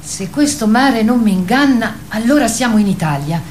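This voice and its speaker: An actress playing a grown female wild goose in a radio drama, calm, reassuring and dreamy. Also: fairly high pitch, moderate pace, slight background noise, natural voice. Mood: calm, explaining.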